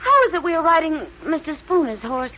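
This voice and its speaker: A giggly nosy young wench, super high squeaky voice, old muffled radio play with a bit of noise. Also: female voice, big pitch swings, brisk. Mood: curious. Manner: coquettish, questioning. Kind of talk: 1950s radio drama acting. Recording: dull vintage radio recording, faint noise.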